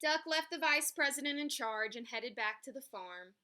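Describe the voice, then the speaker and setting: A woman reading a story aloud for children in an expressive, emphatic way. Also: high voice, normal speed. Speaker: picture book reader.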